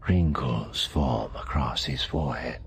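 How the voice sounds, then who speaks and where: A man's voice: very deep voice, unhurried, gravelly. A man with a synthetic voice reading short descriptive narration in an audiobook. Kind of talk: clipped present-tense descriptive narration. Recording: faint background noise.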